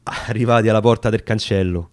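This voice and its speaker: A man's voice: fairly low pitch, steady tone, a little fast, darkish tone, no echo, clean studio sound. A man with a Roman accent giving an emphatic, theatrical radio recitation of a dialect verse story. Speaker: reader of dramatic verse.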